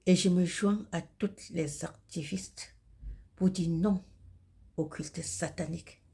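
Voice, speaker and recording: A Cameroonian woman with a husky, gravelly voice delivers a religious monologue in solemn, declamatory, halting phrases, with a Cameroonian French accent. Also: on the low side, steady tone, mellow. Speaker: video host and self-described messenger of God.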